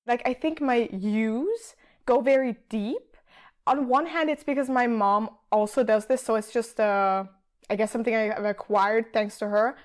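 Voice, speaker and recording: Woman from Austria, podcast guest, casual chat, thinking aloud, fairly high voice. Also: moderate pace. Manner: chatty, thinking aloud with fillers. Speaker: guest, PhD student.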